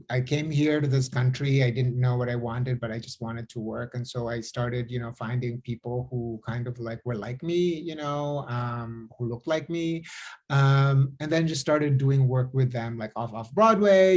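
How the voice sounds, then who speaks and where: Casting: man, mid-pitch, loose rambling panel storyteller. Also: a little mellow. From Philippines. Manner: rambling, restarting, chatty storytelling. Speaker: theater and film designer. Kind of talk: panel talk over video call.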